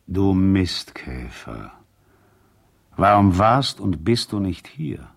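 Radio play husband, dazed and grumbling, very slow, with wildly swinging intonation. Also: male voice, deep voice, very animated, creaky, full character voice. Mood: groggy, reproachful. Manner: halting, groggy. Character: dazed injured racing husband, grumbling at his friend.

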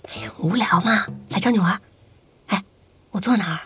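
A woman's voice: mid-range voice, normal speed, fairly bright tone, soft background music, close to an everyday voice. A woman playing a playful young gamer in an audio drama, teasing an old friend in a light, familiar way. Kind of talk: Chinese audio drama acting.

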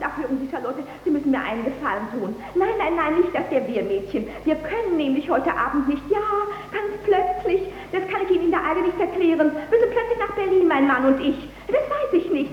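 An actress in a 1940s German radio play, playing a mayor's flustered, chatty and genteel wife. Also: female voice, high voice. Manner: fussy, breathless social chatter. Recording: echoey, dull, hissy old radio recording.

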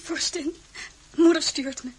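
An actress playing a distressed royal daughter, formal and pleading, in a radio drama. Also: female voice, high-pitched, fairly even intonation, unhurried, a little mellow, rough recording. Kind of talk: Dutch radio drama acting.

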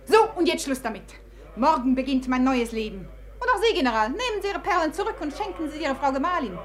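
High-voiced, proud, fiery society lady. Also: big pitch swings, quick pace. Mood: exalted, commanding. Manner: imperious, theatrical. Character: proud, mocking, fiery Russian society woman. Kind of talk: 1950s German radio play acting.

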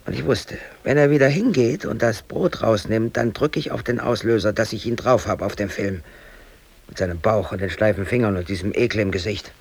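A man's voice: fairly low pitch, fairly quick, gravelly, darkish tone, husky. An actor playing a brooding, aggrieved bakery worker in his thirties, telling his story colloquially and intensely in a radio drama. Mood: tense recounting. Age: 30s. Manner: colloquial, emotionally intense, self-justifying. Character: brooding, aggrieved East German bakery worker under arrest. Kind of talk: radio drama monologue, confessional narration.

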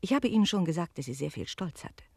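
An actress playing a worldly, teasing innkeeper's wife who tells stories expressively and confidingly in a German radio play. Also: female voice, lowish voice, sing-song, quick pace, a little mellow, dry recording, studio quality. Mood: confiding, narrating.

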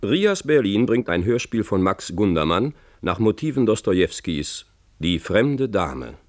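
A male radio announcer reads out a scripted play title. His voice is fairly low and moves widely in pitch. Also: big pitch swings, unhurried.

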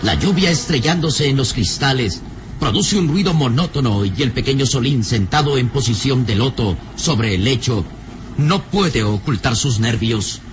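A man narrating an old radio serial in a dramatic, deliberate, suspenseful scripted style. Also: mid-range voice, expressive, noisy background.

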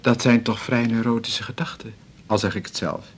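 An actor playing an embarrassed, insecure young student at the doctor's, hesitant and apologetic, in a naturalistic radio drama. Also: male voice, mid-range voice, steady tone, normal speed, breathy edge, natural voice. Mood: embarrassed, anxious. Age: young adult.

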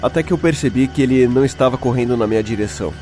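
A man's voice: mid-range voice, racing, warm tone, close to an everyday voice. A man acting as a shaken young Brazilian who tells his own horror story in a suspenseful, dramatic way. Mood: ominous, tense. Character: shaken young man recounting a night-time horror encounter. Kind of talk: dramatic first-person horror story narration with acted dialogue. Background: music.

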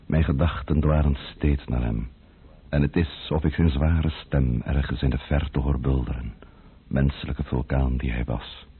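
A man's voice: rumbling low, fairly even intonation, breathy edge, full character voice. A man playing a grieving nobleman who reads out a solemn letter in a radio drama. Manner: grave, sorrowful, measured. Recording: phone-like old radio with faint music.

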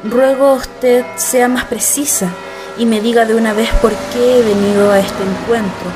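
Woman playing posh polite daughter of a president, radio play, higher voice, music clearly behind. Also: fairly even intonation, moderate pace, close to an everyday voice. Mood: anxious, insistent. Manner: formal, courteous, precise. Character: refined president's daughter, polite, anxious, self-assured. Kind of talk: radio drama acting. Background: background music.

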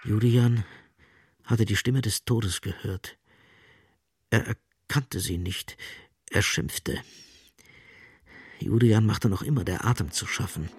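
A man narrating a literary radio play dramatically, in terse, emphatic phrases with a gravelly voice. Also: fairly low pitch, takes it slowly, long pauses, a little mellow. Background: music.

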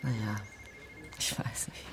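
An actress playing a world-weary middle-aged journalist in a radio drama, dry and reluctant. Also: female voice, slow pace, whispered, over music, close to an everyday voice. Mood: wary, reluctant.

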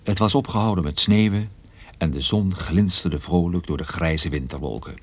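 An actor playing a world-weary private detective, narrating his case in a radio play with dry, unhurried wit. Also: low voice. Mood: wry, detached. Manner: dry, wry, unhurried storytelling. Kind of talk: radio drama first-person narration.

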